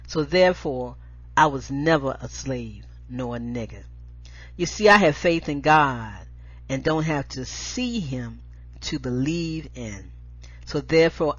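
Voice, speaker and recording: American woman doing spoken word, husky and slow, emphatic, kinda dull lo-fi recording. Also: extremely low pitch. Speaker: show host and poetry reader. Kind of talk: spoken-word poetry show. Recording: dull lo-fi audio.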